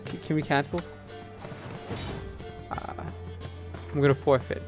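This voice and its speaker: A man's voice: a little high, steady tone, unhurried. Man doing casual gameplay commentary, music behind. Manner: reads on-screen text aloud. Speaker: video game player and commentator.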